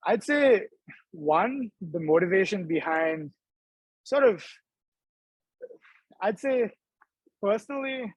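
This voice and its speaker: Young man, startup founder, video call, slightly high voice, dry sound, quick animated talk. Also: breathy edge, no room sound, low-quality recording. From Dubai. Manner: quick, animated, laughs between thoughts. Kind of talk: casual video-call interview. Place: indoor room.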